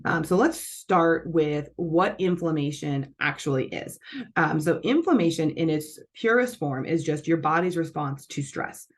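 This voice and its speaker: Low-voiced woman, scratchy from allergies. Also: measured pace. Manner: chatty, with many fillers and self-corrections. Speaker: nutrition channel host. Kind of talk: casual explanatory talk to camera.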